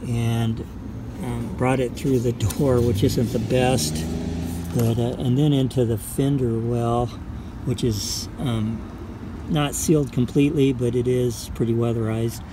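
A man's voice: mid-range voice, flat delivery, noisy background. A middle-aged man casually walking through a car radio install, talking plainly with fillers, filmed on a phone held close in and beside a small car. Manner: plain, unhurried, talks through each step with fillers. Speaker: vlogger showing a car install. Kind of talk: casual how-to walkthrough. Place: in a small car and outdoors beside it, tree-lined lot. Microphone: phone camera held close.

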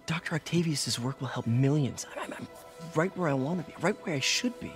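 Actor as awkward young american superhero guy, kind, unsure of himself, lightish higher voice, game cutscene with music. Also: expressive, fairly quick, natural voice. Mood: nervous, awkward. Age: young adult. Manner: hesitant, boyish, self-effacing. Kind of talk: cinematic video game acting. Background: background music.

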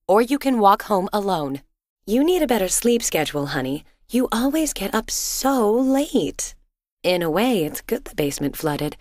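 An actress playing a loving, teasing suburban wife and mother in a video game, expressive and gently exasperated. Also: mid-range voice, no room sound, studio quality. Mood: teasing, impatient. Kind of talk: cartoonish game dialogue, affectionate chiding.

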